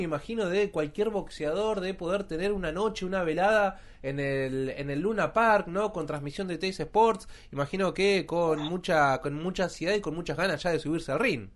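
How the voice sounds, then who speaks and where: A man with an Argentine accent hosting a live radio show, upbeat and announcer-like with frequent fillers. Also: sits high. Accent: Argentine Spanish. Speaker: radio host.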